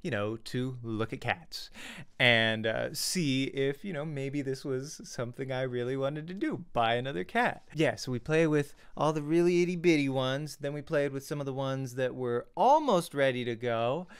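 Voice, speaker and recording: A young man chatting casually as a vlogger, telling a personal story in an animated way and acting out reactions, close on a desk mic at home. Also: mid-range voice, normal speed, clean tone. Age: young adult. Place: small home room. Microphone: desk studio mic with pop filter, close.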